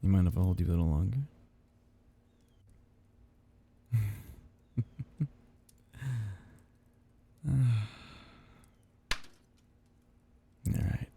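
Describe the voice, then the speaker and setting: A man playing a tender, possessive vampire count in an intimate ASMR roleplay, speaking in soft, teasing murmurs with a husky, gravelly voice. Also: sits low, animated, takes it slowly, full character voice. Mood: affectionate.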